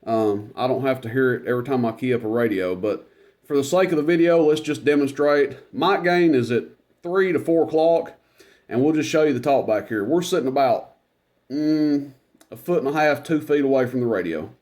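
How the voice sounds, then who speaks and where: Man, loud voice, mid-range pitch, easygoing hobbyist showing off radio gear, rambles with plenty of "uh"s. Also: normal speed. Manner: relaxed, rambling, many fillers. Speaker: CB radio reviewer. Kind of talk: casual product demonstration.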